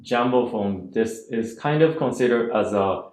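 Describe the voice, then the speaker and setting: A man's voice: mid-range voice, measured pace, darkish tone. A man hosting a podcast interview, polite and careful, leaning on many fillers. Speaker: podcast host. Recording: roomy echo.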